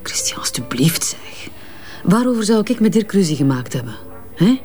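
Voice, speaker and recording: A woman's voice: mid-range voice, very animated, moderate pace, warm tone, soft background music, close to an everyday voice. A woman with a Flemish accent acting a tense, weary businesswoman in a radio drama. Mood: stressed, defensive. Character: tense, weary businesswoman shaken by a colleague's death.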